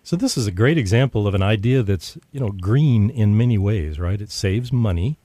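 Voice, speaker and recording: A man's voice: fairly low pitch, lively intonation, moderate pace, mellow, dry recording. A man hosting a radio interview show, talking conversationally with frequent fillers. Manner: conversational, many fillers and restarts.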